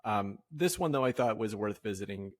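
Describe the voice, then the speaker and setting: A man hosting a podcast in casual discussion, speaking conversationally and haltingly as he thinks aloud. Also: fairly low pitch, expressive. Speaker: podcast host leading a discussion.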